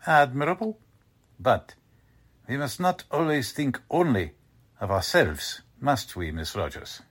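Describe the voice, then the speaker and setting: An actor playing a courteous, cold German Nazi agent who makes veiled threats in a deliberate, suave way, in old-time radio drama style. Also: male voice, mid-range voice, big pitch swings, fairly bright tone, breathy edge, heavily acted. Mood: sly, taunting.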